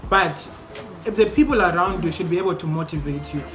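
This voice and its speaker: A young man hosting a casual group discussion among medical students, asking questions in an animated way. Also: sits high, a little fast. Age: young adult. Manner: animated, questioning, laughs often. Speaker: host. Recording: echoey room with music behind.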